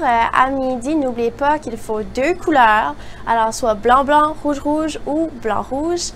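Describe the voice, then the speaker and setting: A woman reading school announcements in a bright, chatty way. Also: high-pitched, slight background noise.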